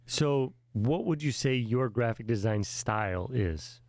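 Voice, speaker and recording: A man leads a friendly interview and gives warm encouragement between questions. He talks at an ordinary pitch and sometimes restarts mid-sentence. His voice is recorded close and dry. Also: animated, a little slow, no room sound.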